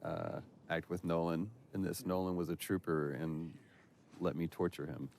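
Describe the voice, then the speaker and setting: A man's voice: low-pitched, steady tone, normal speed, creaky, darkish tone. A man giving casual, reflective commentary as a game actor.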